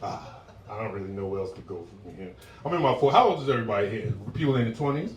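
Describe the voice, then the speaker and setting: A man in his forties doing stand-up on a club stage, relaxed and conversational as he tells his stories. He picks up energy and shouts when he acts out characters. The sound is roomy and picked up from some distance away. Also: on the low side, fast, a little hiss or hum. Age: 40s. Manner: laid-back storytelling, bursts into loud shouted impressions. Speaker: stand-up comedian. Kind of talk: stand-up set.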